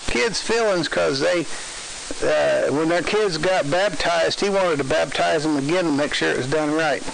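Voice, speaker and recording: Folksy Southern man, 60s, fry in a high voice, casual CB radio chat with faint hiss. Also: unhurried, fairly bright tone, poor audio. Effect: CB radio transmission. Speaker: amateur CB radio operator. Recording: hissy CB radio with light static.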